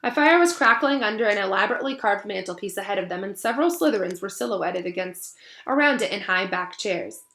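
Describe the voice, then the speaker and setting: A woman teacher speaking to children in an encouraging, affectionate way, in the expressive style of a storybook read-aloud. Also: medium pitch, fast. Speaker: teacher reading aloud to pupils.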